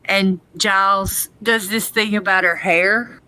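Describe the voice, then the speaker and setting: A woman's voice: mid-range voice, fairly even intonation, takes it slowly, pushed and bright, no room sound, poor audio. A woman co-hosting a podcast, recapping and discussing in an informal, chatty way. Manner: informal, uses y'all. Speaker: podcast co-host. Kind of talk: casual fan discussion.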